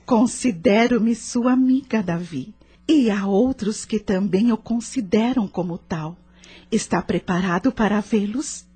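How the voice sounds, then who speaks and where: A Brazilian actress playing a gentle, wise spiritual guide in a radio soap, with a measured, soothing and solemn manner. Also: female voice, mid-range voice, slightly rough. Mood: gentle, guiding.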